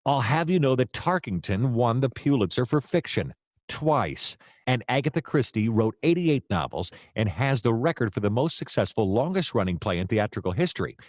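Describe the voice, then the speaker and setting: An actor playing a hapless, excitable private detective in a comedic noir audio play, rambling and theatrical in his exasperation. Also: medium pitch, normal speed, no room sound, clean studio sound. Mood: eager, defensive.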